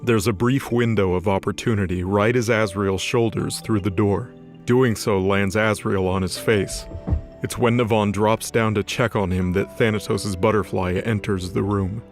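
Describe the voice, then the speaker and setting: Low-voiced man narrating dramatic fiction. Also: steady tone, moderate pace. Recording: music behind the voice. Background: music.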